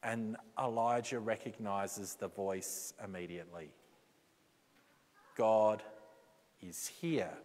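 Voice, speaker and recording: A man preaching a sermon in a church, slow and deliberate, with dramatic pauses and quotes he acts out. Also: fairly low pitch, steady tone, darkish tone. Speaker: pastor. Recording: reverberant church hall.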